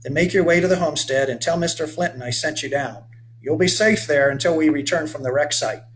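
Weathered, hearty old boatman, put on by a male storyteller; folksy, old-fashioned speech, voice pitched up. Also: fairly quick, a little hiss or hum, natural voice, low-quality recording. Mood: friendly, firm. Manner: folksy old-fashioned speech, calls the boy lad and son. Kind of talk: voiced character in a read-aloud story.

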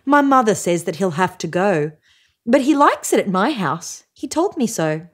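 A woman's voice: medium pitch, very animated, normal speed. Woman voicing an insistent, sing-song little storybook girl. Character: little schoolgirl, sly, earnest, insistent about her monster.

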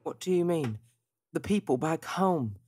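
A man's voice: fairly high pitch, lively intonation, unhurried, darkish tone, breathy edge. A man playing a centuries-old devout seer in a tabletop game, earnest, formal and theatrical. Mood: confused.

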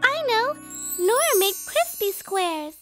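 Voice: very high-pitched, big pitch swings, takes it slowly, over music, exaggerated voice. A performer voicing a polite, earnest little kindergarten girl in a children's cartoon, sweet and careful in manner. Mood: concerned.

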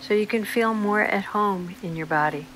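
A woman leading a guided meditation in a slow, soothing, gentle manner with unhurried pauses. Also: medium pitch, faint music behind, rough recording.

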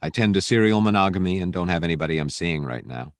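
A middle-aged sergeant, acted by a male narrator, speaks in a very low, gruff voice. He is laconic and easygoing, an audiobook character recorded dry and close. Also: expressive, fast, no echo. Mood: matter-of-fact.

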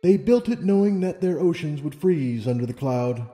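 A man's voice: fairly high pitch, animated, normal speed, warm tone, voice pushed up, natural voice. A man voicing a wise, serene female pony ruler in a storybook reading, with solemn, formal speech. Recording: long reverb, music underneath.

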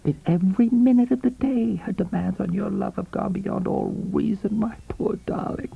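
A man puts on a silvery young mistress from an audiobook, pitched high and breathy. She coos with false sympathy and knowing insinuation. The recording is muffled. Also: normal speed, heavily acted. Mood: knowing, cooing. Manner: insinuating, cooing concern.

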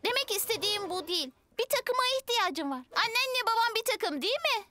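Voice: very high-pitched, animated, fairly quick. An actor voicing a small, keen and supportive childlike motorbike in a children's cartoon, with music behind. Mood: encouraging. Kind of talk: dubbed children's cartoon acting.

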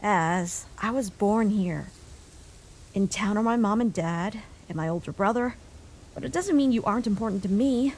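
A woman voicing a caring human child in a comic dub, a protective older sibling who speaks gently and reassuringly. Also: medium pitch, normal speed, natural voice. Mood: tender.

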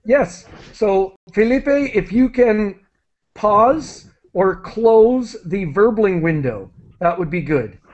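A middle-aged man with a high-pitched voice runs a live online English lesson from a small room, talking through a headset. He explains things in a friendly way, full of fillers and restarts. The sound is dull and lo-fi, like video call audio. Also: lively intonation, slow pace, darkish tone. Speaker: English teacher hosting an online class.

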